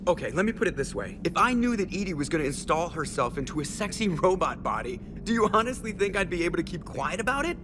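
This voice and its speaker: An actor playing a cheeky, excitable starship pilot in a video game, joking and sarcastic with a creaky voice. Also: male voice, sits high, quick pace, few pauses, noisy background. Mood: gleeful, defensive. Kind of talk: video game dialogue acting. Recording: clear ship ambience behind voice.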